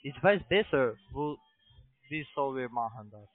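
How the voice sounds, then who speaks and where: A man with a foreign accent, not a native speaker, making a halting sales pitch over the phone in broken sentences with repeated restarts. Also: lively intonation. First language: not German. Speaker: phone sales agent, investment scam caller. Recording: tinny narrow phone line.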